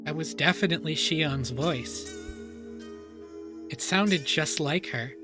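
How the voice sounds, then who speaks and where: A man streaming a dramatic first-person reading of a story, pausing and repeating phrases for suspense. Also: a little high. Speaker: streamer reading a visual novel aloud. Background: music.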